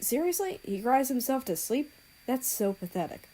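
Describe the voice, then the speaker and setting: Woman reader as sneering teen boy, dismissive, scornful, mellow tone, audiobook tale. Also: high-pitched, very animated, normal speed, voice pushed up.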